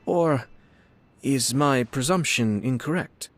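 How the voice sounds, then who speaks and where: A man's voice: mid-range voice, takes it slowly, fairly bright tone, no room sound. A man playing a composed young nobleman in a video game, speaking formally, politely and eloquently. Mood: measured, polite. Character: courteous, composed young nobleman, head of a clan.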